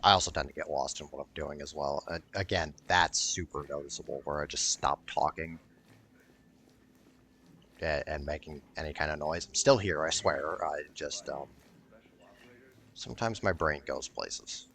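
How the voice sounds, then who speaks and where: Deep-voiced rambling man doing gameplay commentary. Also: normal speed, a bit bright, slight background noise. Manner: rambling, blunt, sweary. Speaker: gaming video creator.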